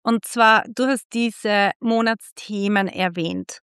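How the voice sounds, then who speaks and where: A middle-aged woman from Austria hosting a casual interview, chatty and quick to laugh, often restarting her sentences. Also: medium pitch, fairly bright tone, clear, resonant tone, no echo, clean studio sound. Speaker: podcast host and club founder.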